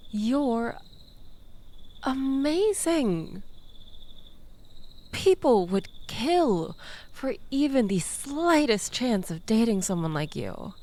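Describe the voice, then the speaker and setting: A woman's voice: on the high side, sing-song, extremely slow, long pauses, dark tone, heavily acted. A woman playing a manipulative, flirtatious toxic ex-lover in an intimate audio roleplay, coaxing and teasing with mock innocence. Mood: coaxing, flattering.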